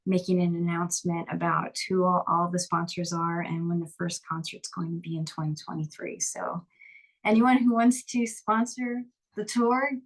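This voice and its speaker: A woman's voice: fairly low pitch, fairly even intonation, normal speed, low-quality recording. A woman musician chatting casually as an interview guest, upbeat and talkative with frequent restarts. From Lehigh Valley, Pennsylvania.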